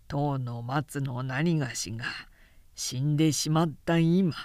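A grave old lord , voiced by a woman narrator, in a period storybook reading. He speaks slowly and softly, patient and soothing as he persuades. The sound is dry and close. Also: deep voice, dry recording, pitched below the performer's own voice. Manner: measured, hushed, soothing.

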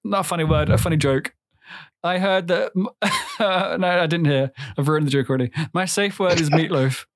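A man's voice: on the high side, normal speed, no room sound, studio quality. A young man co-hosting a casual podcast, cracking crude jokes and swearing freely. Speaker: podcast co-host. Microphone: headphones and microphone.

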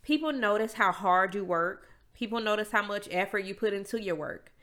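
A woman's voice: medium pitch, normal speed, a bit bright. A young adult woman with a stuffy, congested nose, hosting her own podcast in a candid, emphatic, motivational monologue. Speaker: podcast host.

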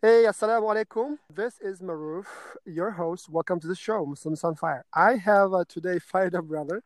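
A man's voice: high voice, faint music behind. A man hosting a podcast, upbeat and encouraging as he chats with and questions his guest. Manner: upbeat and encouraging, quick interjections. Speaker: podcast host. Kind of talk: casual interview.

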